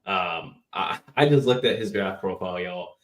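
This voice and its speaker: A man co-hosting a casual basketball podcast, excitable and leading the talk. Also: low-pitched, normal speed, reverberant, far from the mic. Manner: excitable, hype, leading the discussion. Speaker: podcast co-host. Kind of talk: casual sports podcast chat.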